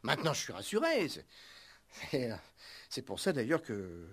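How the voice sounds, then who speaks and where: An actor playing a chatty, eager-to-please innkeeper in a 1960s French radio play, stammering and repeating himself. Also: male voice, mid-range voice, normal speed, exaggerated voice. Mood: flustered, apologetic. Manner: stammering, repetitive, excitable, chuckling nervously. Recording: 1960s radio drama recording.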